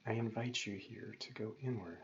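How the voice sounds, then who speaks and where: A man leading a guided meditation as a yoga teacher, calm and gentle, giving measured instructions with pauses. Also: lowish voice, gravelly. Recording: dull lo-fi recording with faint noise.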